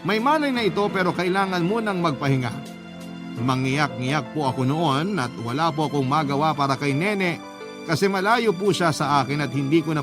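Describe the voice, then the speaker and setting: Worried guy narrating his own sad story, acted by a man, radio drama, highish emotional voice, music behind. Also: natural voice. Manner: earnest, emotional. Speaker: letter-sender narrator. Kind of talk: dramatic first-person narration. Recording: music bed under narration.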